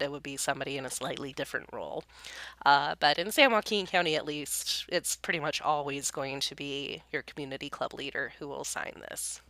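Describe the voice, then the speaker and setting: Low-voiced, congested young woman explaining forms. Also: sharp tone, clear, resonant tone, no echo. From California, US. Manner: friendly and chatty, many ums and uhs, small laughs. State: congested, sniffly. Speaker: county youth program staff. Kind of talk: casual screen-recording tutorial.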